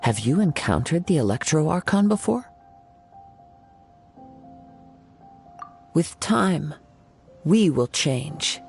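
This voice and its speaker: A man voicing a gentle young wandering swordsman in a video game, speaking calmly, poetically and formally. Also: a little high, creaky, faint music behind, exaggerated voice. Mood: serene, wistful. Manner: calm, formal, measured. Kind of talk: video game character acting.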